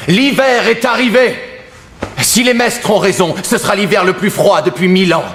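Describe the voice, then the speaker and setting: A man playing a weary fantasy lord in a screen drama, making stately, measured declarations. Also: high-pitched, a bit bright. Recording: roomy echo, faint noise.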